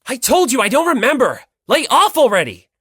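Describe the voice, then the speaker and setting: A man's voice: very high-pitched, very animated, a little fast, a bit bright, husky, no room sound, clean studio sound, pitched above the performer's own voice, exaggerated voice. A man voicing an irritable, defensive teenage boy with a snappish, impatient manner in an audiobook. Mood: angry, flaring up.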